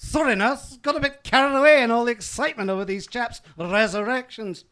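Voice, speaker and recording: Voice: medium pitch, brisk, fairly bright tone, lightly acted. An actor playing a bumbling, cheeky hospital doctor, pompous and flustered in a comic radio play.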